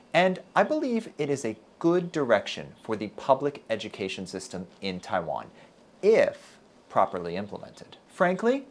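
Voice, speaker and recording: A man from Texas, a native English speaker and university lecturer, giving a measured, earnest scripted talk to camera. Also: mid-range voice. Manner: measured, earnest, deliberate delivery.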